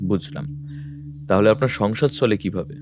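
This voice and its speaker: A composed private detective played by a male actor speaks in a fairly low voice. He is courteous, measured and authoritative, as in an audio mystery drama, with faint noise behind him. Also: animated, lightly acted. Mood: calm, probing. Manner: measured, thoughtful, formal.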